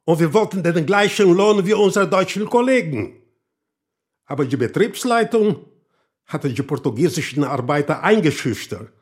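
An actor playing a proud, embittered older former guest worker in a radio drama, earnest and emphatic. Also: male voice, a little high, expressive, moderate pace, close to an everyday voice. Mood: proud, bitter.

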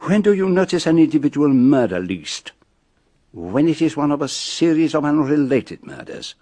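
An actor playing a shrewd, self-assured male detective with a French-speaking accent, speaking in measured, pompous reveals in a radio drama. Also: a little high, very animated, dark tone, dry recording. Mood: measured, triumphant.

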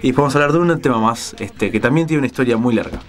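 A man's voice: mid-range voice, very fast. Man hosting, faint music behind. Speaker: radio show host. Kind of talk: casual music talk.

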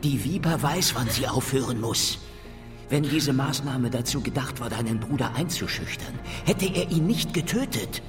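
A cynical hard-boiled interrogator played by a male actor in a radio thriller, with music behind him. His delivery swings widely from mocking asides to sharp pressure, always sardonic and threatening. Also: mid-range voice, animated, normal speed, close to an everyday voice. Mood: sardonic, pressing. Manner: sardonic, taunting, needling questions. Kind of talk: radio drama acting.

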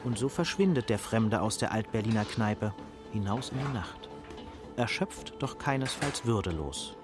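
A man narrating a scripted radio drama as a sober literary storyteller, measured and dryly ironic. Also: fairly low pitch, animated, music in the background, lightly acted.

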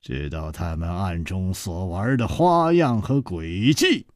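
A man voicing a calm, wry young male hero who speaks low and softly. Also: slow pace, dry recording, studio quality. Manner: low, soft, understated.

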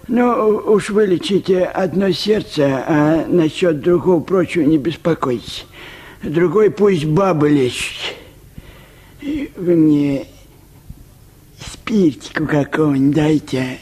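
A thin, ailing peasant in a comic radio drama. The voice is high and husky, slow and very changeable in pitch. He complains haltingly, humble and groaning. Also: a little mellow, exaggerated voice. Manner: groaning, halting, deferential, rustic rambling. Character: plaintive, simple, tall thin peasant man, an ailing patient. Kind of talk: comic literary radio play acting.

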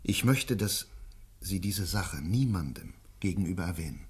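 An actor playing a courteous but firm doctor who asks deliberate, hesitant questions in a German radio play. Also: male voice, deep voice, expressive. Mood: firm, insistent.

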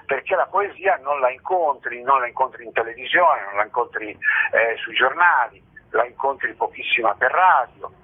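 A man's voice: medium pitch, moderate pace, breathy edge. A man being interviewed as a festival organiser, explaining things in an earnest, reflective way and often rephrasing himself. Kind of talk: radio interview, spontaneous explanation. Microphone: phone call. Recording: band-limited telephone line on radio.

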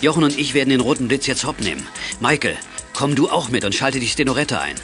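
Guy acting a calm dad giving orders in a kids audio drama, dry humor, mid voice. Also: mid-range voice, fast, over music, lightly acted. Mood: amused, decisive. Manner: commanding, dryly humorous. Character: calm, wry father and CB veteran, middle-aged. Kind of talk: 1980s German children's audio drama acting.

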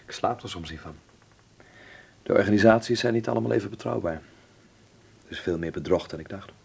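A man's voice: lowish voice, a little fast, gravelly, slightly rough. An actor playing a troubled, understated man in a wartime Dutch radio drama, with a Netherlands Dutch accent. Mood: troubled, reluctant. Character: anxious, troubled man protecting his wartime friends.

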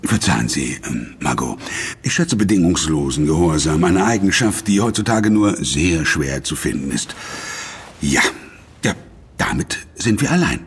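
Smug executive, animated delivery. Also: male voice, a bass voice, moderate pace, breathy edge, exaggerated voice. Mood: imperious, curt. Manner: smug, formal, hesitant before superiors. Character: imperious corporate executive, curt to staff, obsequious to superiors. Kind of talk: German audio drama acting.

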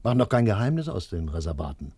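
A militant, argumentative son in a radio drama. His voice is low, dark and slightly husky, recorded dry, and he reasons insistently in a matter-of-fact way. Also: sing-song, fast, no room sound, exaggerated voice. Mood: insistent, dismissive. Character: determined, rational adult son, militant and argumentative. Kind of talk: radio play dialogue.

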